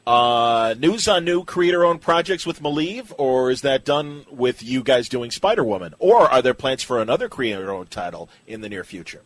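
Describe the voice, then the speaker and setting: A man's voice: medium pitch, expressive, moderate pace, hardly any pauses, no room sound. A man with a mid-range voice hosts a casual interview podcast, recorded dry with no room sound. He puts questions to his guest and chimes in with brief, agreeable responses.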